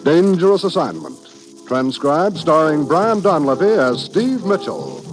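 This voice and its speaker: A man's voice: a little high, wildly swooping pitch, measured pace, over loud music, poor audio. An American man announcing in 1950s network radio style, formal and polished, on a vintage broadcast recording.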